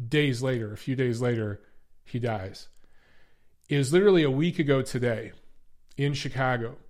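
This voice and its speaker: A man hosting a boxing podcast, speaking casually to his viewers, his voice a little stuffy and congested, repeating phrases and often saying "guys" and "uh". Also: mid-range voice. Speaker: podcast host.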